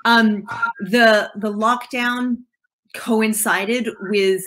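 A woman in her thirties from Switzerland, a guest on a video-call interview, answering in a thoughtful, measured way. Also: fairly high pitch, fairly even intonation, fairly bright tone. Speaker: guest, digital art consultant. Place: home office with bookshelves.

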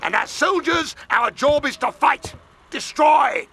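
An actor voicing a furious, bullying pig drill sergeant in a military cartoon game, barking theatrically and with menace. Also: male voice, very high voice, slow pace, sharp tone, full character voice. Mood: furious, bellowing.

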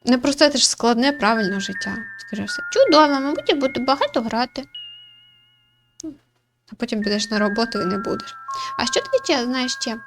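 High-pitched chatty woman playing a game. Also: very animated, a little fast. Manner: chatty, playful, muttering asides. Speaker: gaming video creator, player. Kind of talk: casual playthrough commentary, reading visual novel dialogue aloud. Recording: music behind the voice.

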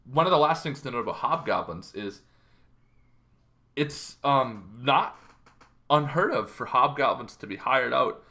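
A man in his thirties explaining things casually as a show host, in an informal, halting way. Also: medium pitch, lively intonation, bright, forceful tone. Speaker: host of a tabletop roleplaying lore show. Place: bedroom, indoors.

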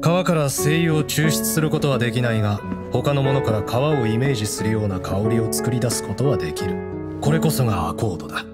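An actress playing a wise master perfumer in an anime game, lecturing a pupil with assured, deliberate delivery. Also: female voice, extremely low pitch, expressive, loud music behind the voice. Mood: instructive. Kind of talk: Japanese anime game voice acting.

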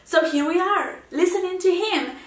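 A woman's voice: extremely high pitch, moderate pace, reverberant, far from the mic. A woman, talking casually to camera as a channel host, full of fillers and easy laughter. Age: 20s. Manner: chatty, lots of fillers and restarts, laughs easily. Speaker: YouTube reaction host. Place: indoor room in front of a framed seascape painting.

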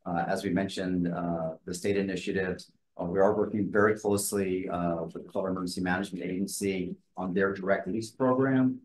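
A man speaking as an emergency management official at a hearing, formal and halting, with frequent fillers. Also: low-pitched, fairly even intonation, a little mellow. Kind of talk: testimony at a legislative hearing. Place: hearing room.

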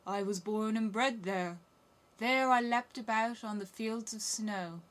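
A woman voicing a gentle captive male reindeer in a storybook, drawn-out and wistful. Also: on the high side. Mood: proud. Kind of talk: storybook character voice.

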